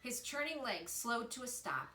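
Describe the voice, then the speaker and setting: Bright-voiced woman reading a story aloud. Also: fairly high pitch, sing-song, normal speed. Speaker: reader, storyteller. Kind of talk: expressive children's book read-aloud. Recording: echoey room with faint noise.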